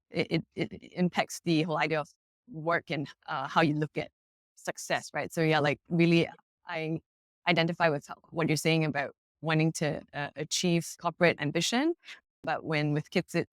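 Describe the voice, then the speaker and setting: A woman's voice: low-pitched, no room sound, clean studio sound. A woman hosting a podcast, affirming her guest and asking open, thoughtful questions. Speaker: podcast host. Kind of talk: interview host chat.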